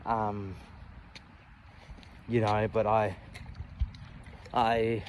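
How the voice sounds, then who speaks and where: A man in his late thirties talking candidly about his life in a reflective, halting way, often restarting and adding "you know". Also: on the low side, flat delivery, slight background noise. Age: 30s. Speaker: vlogger. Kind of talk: personal reflective monologue.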